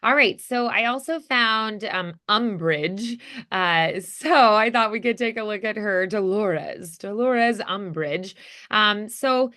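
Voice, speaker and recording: A woman with a bright, crisp, smooth voice at an average pitch. She coaches acting casually, offering effusive encouragement in a conversational way. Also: takes it slowly.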